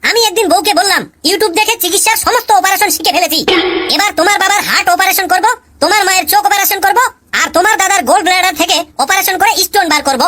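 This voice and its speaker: Woman doing a cheeky cartoon schoolboy-husband, quick, bright squeaky voice, tells jokes. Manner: chatty storytelling, punchline delivery. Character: cheeky male pupil, married, joking about his wife. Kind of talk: cartoon comedy voice acting.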